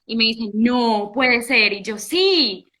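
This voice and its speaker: Bright-voiced young woman telling her story. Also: a little high, takes it slowly. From Italy. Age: young adult. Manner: animated storytelling with frequent restarts. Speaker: guest. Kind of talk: casual interview.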